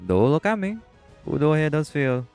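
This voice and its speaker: A man's voice: a little high, big pitch swings, moderate pace, a little mellow, soft background music. A man playing a teasing, easygoing shapeshifter boyfriend with a Caribbean accent in an audio roleplay. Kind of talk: scripted audio roleplay acting.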